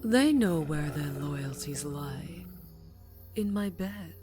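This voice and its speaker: A woman's voice: low voice, animated, slow pace, dark tone. A woman playing a seductive, cruel vampire countess in a scripted fantasy roleplay, intimate and taunting, often dropping to a whisper. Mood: smug, menacing. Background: background music.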